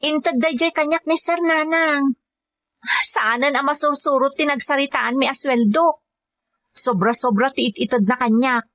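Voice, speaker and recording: An actress plays a devoted daughter working overseas as a caregiver, with a fairly high voice and an Ilocano accent. She speaks earnestly and respectfully, in radio drama style. The recording is close and dry. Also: moderate pace, a bit bright, dry recording. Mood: respectful, reassuring.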